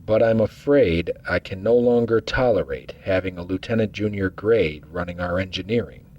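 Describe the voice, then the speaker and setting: Man playing a calm acting starship captain, low husky voice, dry humor, dull lo-fi sound. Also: normal speed. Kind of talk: fan audio drama acting.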